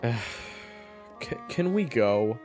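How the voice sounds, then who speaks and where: A man's voice: mid-range voice, very animated, extremely slow, music in the background. A man playing a bitter, blunt monster hunter who talks in weary slang in an audio roleplay drama. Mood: impatient.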